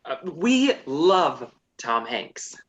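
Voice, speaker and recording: A man's voice: fairly high pitch, very animated, drawn-out words, fairly bright tone. Dry, hesitant man narrating.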